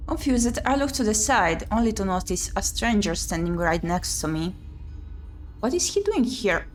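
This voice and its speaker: A woman's voice: mid-range voice, very animated, normal speed, faint music behind. A woman playing a visual novel on her channel, reading the text aloud and adding chatty commentary. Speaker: video game streamer.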